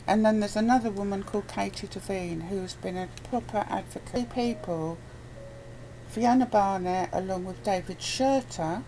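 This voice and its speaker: A woman talks as a guest in a casual, opinionated chat, recorded with noticeable ambient noise. Her voice sits at a middle pitch, and she is blunt and emphatic, often repeating a phrase to drive it home. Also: moderate pace, mellow, rough recording. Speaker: interview guest. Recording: clear background noise, ambient sound.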